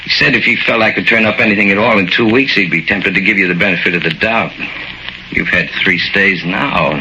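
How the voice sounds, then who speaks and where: An earnest lawyer who has done all he could, speaking low and apologetically. The recording is muffled and hissy, like an old radio broadcast. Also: fairly quick. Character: earnest lawyer visiting condemned client. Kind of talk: 1940s radio acting.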